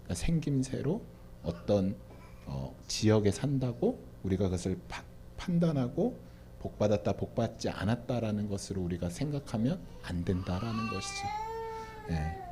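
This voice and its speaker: Animated pastor, dark mellow voice. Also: male voice, fairly low pitch, normal speed, a little hiss or hum. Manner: addresses the audience often, asks and answers his own questions. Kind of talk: church Q&A answer, preaching style. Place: church.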